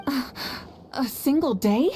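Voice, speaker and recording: Poised, unhurried warrior heroine. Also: female voice, high voice, expressive, noisy background. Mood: composed, courteous. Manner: measured, poised, polite. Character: composed, courteous warrior heroine and reigning fire leader. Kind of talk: video game voice acting.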